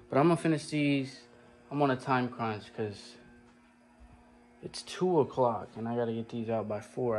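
A man in his twenties vlogging casually to a phone at arm's length while he works in a basement print shop, talking in a hurried, chatty way. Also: mid-range voice, darkish tone. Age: 20s. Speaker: screen printing business owner. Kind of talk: casual vlog to camera.

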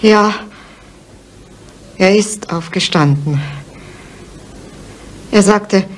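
An actress playing a subdued, long-suffering wife with hesitant delivery in a seventies radio crime drama. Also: female voice, medium pitch, very slow, a little hiss or hum. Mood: reluctant. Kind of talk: 1970s German radio crime drama acting.

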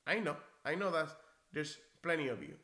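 A man's voice: fairly high pitch, big pitch swings, moderate pace, sharp tone, reverberant. A man in his forties from Cuba, an instructor answering viewer questions on a live stream in a friendly, encouraging way, seated at a desk in a small office. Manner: friendly, encouraging, conversational with restarts. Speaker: NCLEX prep instructor. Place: small indoor office, seated in leather chair.

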